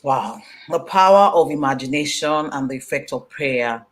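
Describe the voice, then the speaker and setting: Animated woman, Yoruba native, interviewee. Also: low voice, moderate pace. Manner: animated, laughing, repetitive fillers. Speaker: author being interviewed. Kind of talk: book launch interview.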